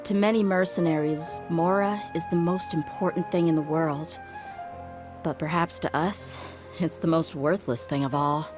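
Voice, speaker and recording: Game heroine, woman performer: tough young desert mercenary, lowish voice, frank, introspective, music behind. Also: fairly even intonation, moderate pace, lightly acted. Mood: melancholy, reflective. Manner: frank, reflective, weighty delivery. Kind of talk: video game voice acting.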